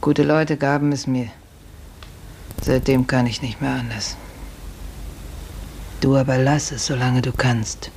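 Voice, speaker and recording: A woman's voice: a bass voice, fairly even intonation, unhurried, pauses often, a little mellow. An actress playing a motherly, world-weary stable woman in a 1950s German radio drama, soothing and resigned, on a faintly noisy old recording. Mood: resigned, sorrowful.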